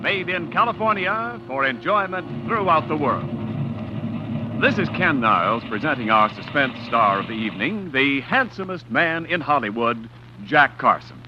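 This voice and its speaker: A man's voice: on the high side, lively intonation, moderate pace, noisy background. A man announcing sponsor messages on a 1940s radio show in a polished, salesman-like way. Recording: dull old radio broadcast recording.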